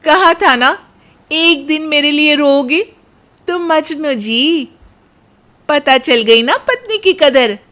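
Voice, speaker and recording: Woman voicing a jealous young cartoon wife, high swooping pitch, possessive, teasing, sharp-tongued. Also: animated, slow, heavily acted. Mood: smug teasing. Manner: dramatic, sharp-tongued, emphatic. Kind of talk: cartoon storybook character acting.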